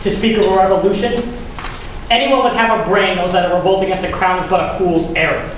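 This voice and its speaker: A young man playing a scornful skeptic in a high school stage play. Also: fairly even intonation, brisk, natural voice. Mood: scornful, challenging. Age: young adult. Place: school theatre stage, distant microphone. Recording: echoey stage from far off, faint noise.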